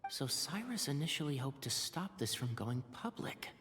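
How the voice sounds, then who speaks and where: An actor playing an earnest, polite young man, calm and thoughtful, in an anime game. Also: mid-range voice, moderate pace, husky. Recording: game music behind.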